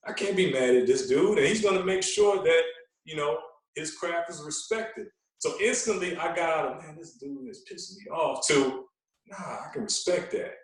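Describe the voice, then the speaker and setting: A man's voice: on the high side, lively intonation, normal speed. Guy chatting on a podcast, super echoey room, kinda far from the mic, tells stories with emphasis. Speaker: guest, veteran radio personality. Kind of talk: casual podcast storytelling.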